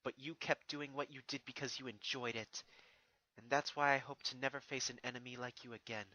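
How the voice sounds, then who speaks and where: A man's voice: mid-range voice, steady tone, brisk, sharp tone, natural voice, rough recording. A man voicing a regal, stern female pony princess who speaks in formal, solemn speeches with a wounded resolve. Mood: firm, reproachful.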